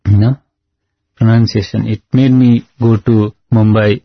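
Husky male guest, Nepali first language, earnest storyteller who slips into song; slightly muffled audio with faint music behind. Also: lowish voice, flat delivery, a little slow, dry recording. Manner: earnest storytelling, breaks into sung lines. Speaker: singer and guest. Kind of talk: devotional interview chat.

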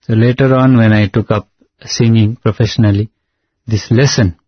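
Husky-voiced man, Nepali native speaker. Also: lowish voice, steady tone, moderate pace, no room sound. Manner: earnest storytelling, breaks into sung lines. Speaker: singer and guest. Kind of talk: devotional interview chat. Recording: slightly dull with faint music.

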